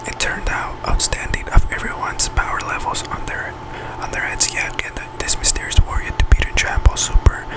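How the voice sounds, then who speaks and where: A man reading an article aloud in an ASMR whisper, halting and often stumbling over words and correcting himself. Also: rough recording. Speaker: ASMR channel host.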